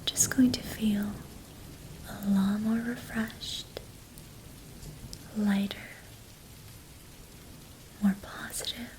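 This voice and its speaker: A woman whispering softly and slowly as an ASMR host, guiding breathing and counting down into sleep with long pauses. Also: mid-range voice, level tone, a little hiss or hum, low-quality recording.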